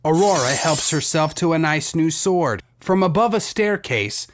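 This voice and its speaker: A man's voice: on the high side, lively intonation, moderate pace, a little hiss or hum. A man giving theatrical scripted narration as the storyteller of an adventure audio drama.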